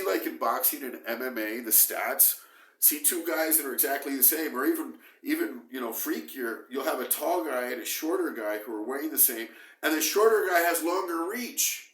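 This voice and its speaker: A middle-aged man with a hoarse voice from a cold talks straight into a camera held close to his face. He speaks at a medium pitch, repeating himself for emphasis and breaking into animated bursts. The small indoor room gives his voice a roomy echo. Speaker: bodybuilding vlogger. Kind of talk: casual talk to camera. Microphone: camera close at face, moving.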